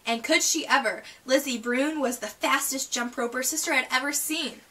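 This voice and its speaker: Bright, high-voiced woman reading a storybook. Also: moderate pace, distant mic. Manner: expressive reading, stumbles over words. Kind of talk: read-aloud of a children's picture book.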